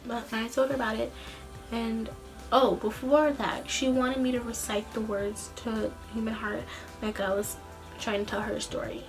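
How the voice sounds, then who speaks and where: A young woman telling a story in a casual, rambling vlog style, animated, with a slightly tired, tense voice. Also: on the high side, moderate pace, soft background music. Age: young adult. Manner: animated, rambling, acts out quoted dialogue. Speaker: student singer and vlogger.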